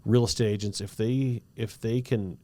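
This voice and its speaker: A man's voice: on the low side, steady tone, moderate pace, darkish tone. A man hosting a podcast in casual chat, chatty, often laughing and acting out quotes. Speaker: podcast host.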